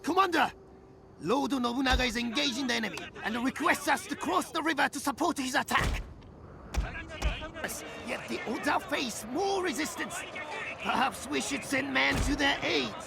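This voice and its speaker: A man's voice: extremely high pitch, expressive, normal speed, vocal fry, exaggerated voice. A man playing a fervent samurai battlefield officer in a game cutscene, with urgent, declamatory delivery. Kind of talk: dramatic video game cutscene acting. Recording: loud music under the voice. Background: loud background music.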